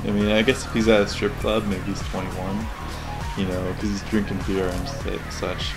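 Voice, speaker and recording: Low-voiced man rambling through casual commentary. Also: level tone, normal speed. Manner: rambling, questioning, critical. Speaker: video game commentator. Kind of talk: casual unscripted commentary over game cutscenes. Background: game cutscene audio and music.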